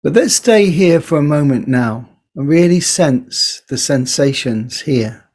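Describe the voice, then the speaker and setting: Male meditation teacher, low-key body scan guide; very slow pace, gentle delivery, leaves space between phrases. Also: lively intonation. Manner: gentle, unhurried, pausing between short phrases.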